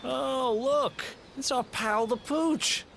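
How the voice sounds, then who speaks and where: A man's voice: very high voice, takes it slowly, creaky, noisy background, full character voice. A man playing a cheeky, streetwise young adventurer in a video game, with a casual, teasing, wry manner. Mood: friendly, casual. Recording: game music behind.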